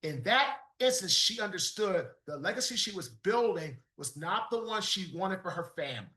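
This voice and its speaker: Animated, emphatic podcast host. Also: male voice, high-pitched, moderate pace, reverberant. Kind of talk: podcast interview with ad read.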